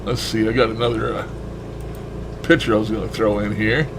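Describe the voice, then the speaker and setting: A man's voice: lowish voice, level tone, brisk, background noise. A man talking in a casual, rambling monologue with a folksy, blunt and mildly profane manner. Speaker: shortwave radio hobbyist vlogger.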